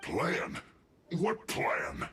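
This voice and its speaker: A man voicing a hapless enemy soldier in an anime game, defensive and aggrieved, protesting haltingly. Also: deep voice, wildly swooping pitch, a bit bright, reverberant, exaggerated voice.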